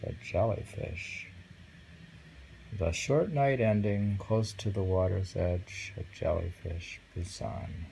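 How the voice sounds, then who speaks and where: Guy slowly reading poems, gravelly voice, musing to himself, bit of noise behind. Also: low voice, warm tone, low-quality recording. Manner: musing, halting, murmurs to himself between readings. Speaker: reader of a haiku book. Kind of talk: casual poetry read-aloud with musing commentary.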